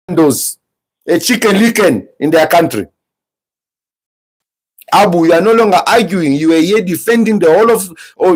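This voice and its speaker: A man's voice: sits high, poor audio. A man hosting a podcast, giving heated, mocking reaction commentary. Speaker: podcast host.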